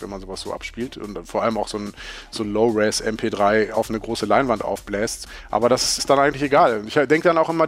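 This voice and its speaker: A man explaining spontaneously and casually in an interview, with loose, colloquial phrasing. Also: fairly low pitch. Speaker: music journalist and author being interviewed.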